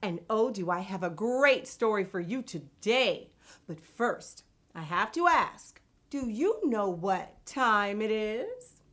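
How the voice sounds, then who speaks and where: Bright, playful woman hosting story time. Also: mid-range voice, big pitch swings, takes it slowly. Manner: playful, theatrical. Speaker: children's story time host. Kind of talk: animated address to young children.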